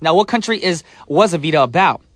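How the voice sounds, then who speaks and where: A man's voice: a little high, animated, fast, no echo. Playful radio DJ man, dry studio sound. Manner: playful, breaks into sung snippets and silly voices. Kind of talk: upbeat radio DJ patter. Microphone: studio microphone.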